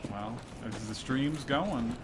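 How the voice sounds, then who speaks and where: A man's voice: mid-range voice, takes it slowly, very noisy. A man giving casual, jokey and profane commentary while hosting a video game stream. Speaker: host playing and explaining the game. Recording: loud game music behind voice. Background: loud video game music.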